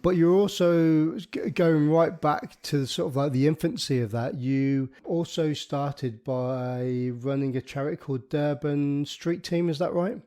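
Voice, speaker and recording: Matey surf-podcast host chatting. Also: male voice, on the high side, measured pace, hardly any pauses, dark tone. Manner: matey, chatty questions with tag endings. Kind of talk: casual interview chat.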